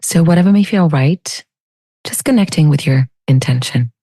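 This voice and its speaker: A woman leading a guided meditation as a teacher, speaking in a soothing, unhurried, gently inviting way. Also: deep voice, animated, slightly rough, no room sound, clean studio sound.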